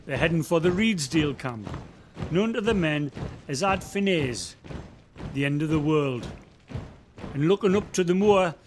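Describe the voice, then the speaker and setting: A man's voice: on the high side, expressive, measured pace, long pauses, a little hiss or hum. An actor with a Northumbrian accent playing an old local man who guides a visitor through the valley's history in a community radio play, telling stories in broad dialect. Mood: storytelling. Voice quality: folksy storyteller, broad dialect words. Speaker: guide character Billy.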